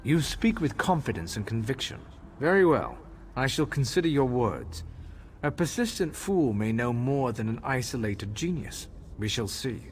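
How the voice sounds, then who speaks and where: Actor as haughty, animated elven elder. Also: male voice, mid-range voice, normal speed, natural voice. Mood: grudging. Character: scornful, impatient male elven elder. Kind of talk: fantasy video game voice acting. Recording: faint background noise.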